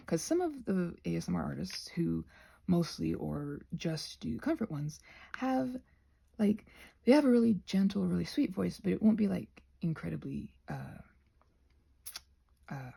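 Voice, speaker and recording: Husky lady rambling while she crafts, kinda deep soft dark tone, trails off and loses her words, sometimes nearly whispery. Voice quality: husky, often dropping to a near whisper. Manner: halting, meandering, self-deprecating, searching for words. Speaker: ASMR channel host.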